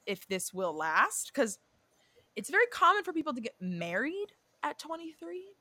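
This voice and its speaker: A woman's voice: sits high, big pitch swings, normal speed, pushed and bright, no echo. Chatty, swearing young woman. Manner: chatty, swears freely, drifts off and self-corrects. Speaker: podcast co-host. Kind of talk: casual chat about a reality show and astrology.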